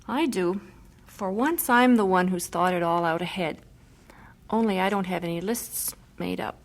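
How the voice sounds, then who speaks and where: A woman's voice: on the low side, normal speed, natural voice. A woman playing a weary but determined missionary mother in an audio drama, earnest and plain-spoken.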